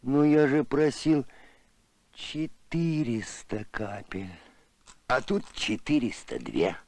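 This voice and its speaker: A man's voice: medium pitch, animated, takes it slowly, a little mellow, dry recording. A man voicing a big, emotional, sentimental alien archaeologist in a Soviet cartoon, theatrical and exclamatory. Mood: excitable. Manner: theatrical, exclamatory, swinging to self-pity. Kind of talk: Soviet animated film voice acting.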